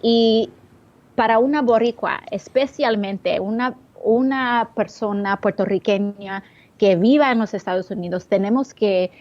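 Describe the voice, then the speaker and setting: A young woman from the Bronx whose first language is English, answering interview questions in halting, searching speech with frequent restarts. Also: medium pitch, a little mellow, muffled recording. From the Bronx, New York. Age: young adult. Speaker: interview guest.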